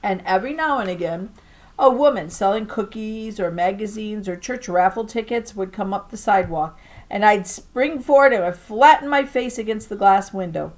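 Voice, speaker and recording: A woman reads a children's novel aloud in the way a teacher does for a class. Her delivery is expressive and deliberate, with clear emphasis on key words. Her voice sits at a medium pitch. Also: low-quality recording.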